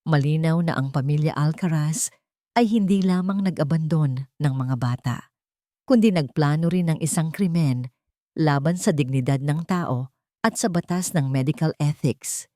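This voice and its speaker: A woman's voice: low voice, normal speed, dark tone, no room sound, clean studio sound, lightly acted. A woman voicing a determined female advocate in an audio story, formal, emphatic and accusing. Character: determined woman advocate presenting evidence in court.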